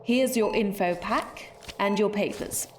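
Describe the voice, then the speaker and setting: An actress playing the brisk, unflappable chief of a space spy bureau, formal and dryly authoritative, in a sci-fi audio drama. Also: female voice, mid-range voice, a little mellow, slight background noise, lightly acted. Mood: businesslike.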